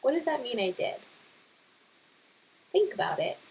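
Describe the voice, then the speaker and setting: A woman's voice: high voice, sing-song, a little slow, creaky, a little mellow, low-quality recording. A woman reading a storybook to children, with chatty, teacherly asides and questions to the listeners.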